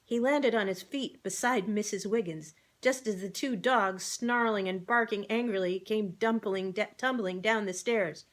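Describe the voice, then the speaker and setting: A woman's voice: medium pitch, unhurried, few pauses. Woman reading a children's story aloud. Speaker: storybook reader. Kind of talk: expressive read-aloud narration.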